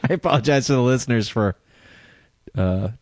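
A man's voice: medium pitch, brisk, darkish tone, dry recording. A man co-hosting a comedy podcast, dropping wry remarks into casual banter. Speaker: podcast co-host.

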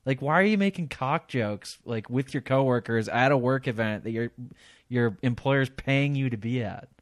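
A man's voice: medium pitch, moderate pace, no echo. Brief: male podcast co-host, sarcastic and crude, mid-range voice, dry sound. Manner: blunt, profane, sarcastic. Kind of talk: casual chat.

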